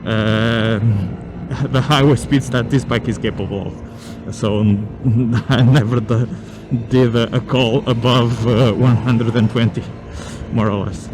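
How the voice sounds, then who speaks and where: Loud engine and road noise surrounds a man with a Portuguese accent. He talks slowly and casually in a rambling review, often hesitating as he looks for the right word. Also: medium pitch, steady tone. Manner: hesitant, rambling, searching for words. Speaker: motorcycle vlogger. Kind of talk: casual spoken product review while riding.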